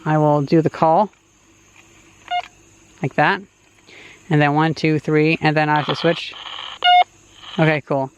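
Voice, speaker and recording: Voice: medium pitch, fairly even intonation, takes it slowly, pauses often. A vlogger gives casual, unscripted commentary outdoors while testing gear, musing and thinking aloud. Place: outdoors along a road. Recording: dull audio, faint background noise.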